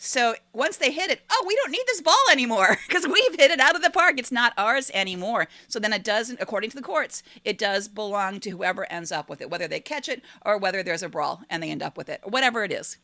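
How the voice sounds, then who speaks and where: A woman with a bright, gravelly voice chats on a podcast. The sound is dry and close, and she tells things in an animated, playful way. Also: mid-range voice, quick pace, hardly any pauses, no echo. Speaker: podcast co-host. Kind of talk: casual podcast chat.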